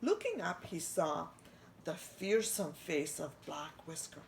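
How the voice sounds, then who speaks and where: A woman's voice: low voice, slow pace, creaky, slight background noise. A woman reading a children's storybook aloud, stopping to ask her young listeners questions. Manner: expressive, asks listeners questions. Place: church.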